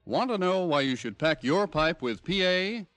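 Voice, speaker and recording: A man's voice: on the high side, lively intonation, moderate pace. A man reading a scripted 1950s radio commercial as an announcer. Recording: vintage radio recording, faint music.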